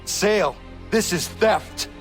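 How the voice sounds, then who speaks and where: An actor playing an earnest, stern young Native warrior in a video game, speaking in a formal, measured way. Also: male voice, high-pitched, faint music behind. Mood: indignant. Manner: formal, measured, solemn speech. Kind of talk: dramatic video game acting.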